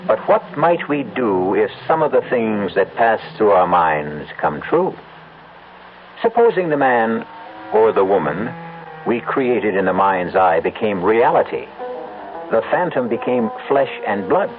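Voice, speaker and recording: A man's voice: on the low side, sing-song, measured pace, faint music behind. A man narrating and hosting a 1970s radio mystery drama in a theatrical, ominous way. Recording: tinny lo-fi old radio broadcast.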